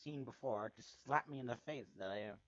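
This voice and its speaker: A man's voice: medium pitch, brisk, no room sound. Theatrical man reading fiction, dull lo-fi sound. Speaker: amateur fanfiction reader. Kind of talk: dramatic first-person story narration.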